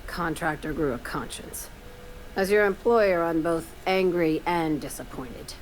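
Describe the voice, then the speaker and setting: Casting: icy game boss, low voice, sardonic and clipped, quietly threatening, faint noise behind. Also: female voice, unhurried, rough recording. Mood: cold anger. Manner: dry, clipped, menacing. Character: curt, paranoid female boss, cold and sardonic. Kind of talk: video game voice acting.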